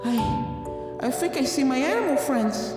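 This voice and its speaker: A sweet, excitable party pony from a storybook, performed by a woman. Her voice rides high and bubbly, exclaiming with open feeling, with music faintly underneath. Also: normal speed, echoey room, in a large hall. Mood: tearful wonder.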